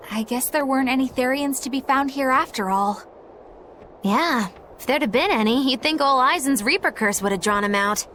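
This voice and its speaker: A woman playing a languid, sarcastic, mischievous young woman with a weary deadpan manner in a game cutscene. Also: high voice, a little slow, long pauses, slight background noise, full character voice. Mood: wry, weary. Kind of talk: English-dubbed anime game cutscene acting.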